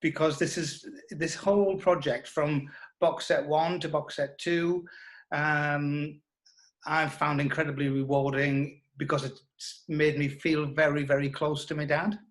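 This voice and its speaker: A middle-aged man being interviewed as a writer, speaking reflectively and haltingly. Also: fairly high pitch, steady tone. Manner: thoughtful, halting delivery. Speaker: interviewed writer who adapted his father's stories.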